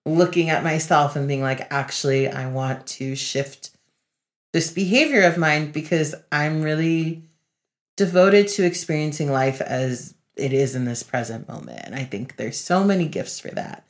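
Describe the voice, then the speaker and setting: A woman with a notably low voice chats as a podcast co-host. Her delivery is casual and conversational, with an earnest, introspective way of talking. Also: normal speed. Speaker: podcast co-host, facilitator and speaker. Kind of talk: casual reflective podcast chat.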